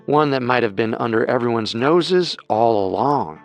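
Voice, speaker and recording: A man's voice: medium pitch, normal speed. A man reading scripted true-crime narration. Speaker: narrator, co-host. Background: music.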